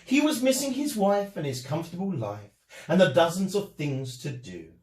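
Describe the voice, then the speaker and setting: A man telling a rhyming children's story as an expressive storyteller. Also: sits high, moderate pace. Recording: echoey room.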